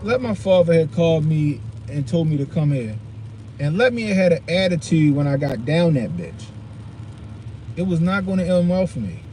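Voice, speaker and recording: A man's voice: fairly high pitch, moderate pace. Blunt, profane man in his forties. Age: 40s. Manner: blunt, profane and animated, full of slang. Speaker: podcast host. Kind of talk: casual storytelling monologue. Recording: loud noise behind the voice.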